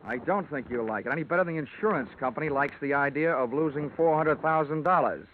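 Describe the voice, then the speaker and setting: An actor playing a wry, wisecracking freelance insurance investigator in old radio detective drama style, dry and clipped. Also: male voice, a little high, quick pace, a little hiss or hum, close to an everyday voice. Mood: cool, wry. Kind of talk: 1940s-50s radio detective drama acting and narration. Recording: tinny vintage radio recording, music behind.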